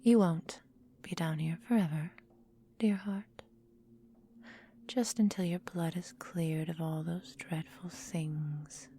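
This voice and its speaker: A woman acts out an immortal vampire mistress in an ASMR roleplay, whispering slowly and deliberately right by the microphone. Her tone is mellow and dark, with a breathy menace and formal, condescending diction. She sounds possessive and coolly doting, over a faint bed of noise. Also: lowish voice, steady tone. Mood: stern, possessive.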